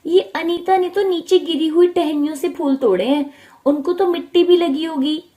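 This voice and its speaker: A greedy, scornful village woman from a storybook, played by a female narrator. Her voice is very high and shrill. She nags and dramatizes everything as she schemes. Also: moderate pace, warm tone. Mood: falsely sweet.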